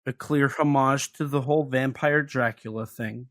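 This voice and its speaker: A man's voice: medium pitch, fairly even intonation, normal speed, a bit bright. A man co-hosting a podcast, talking through a monster film in a chatty, analytical way with light sarcasm. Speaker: podcast co-host. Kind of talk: casual film discussion.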